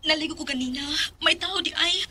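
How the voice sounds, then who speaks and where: A woman's voice: sits high, fairly quick, sharp tone. A woman playing an anxious young woman confiding in her sweetheart in an audio drama.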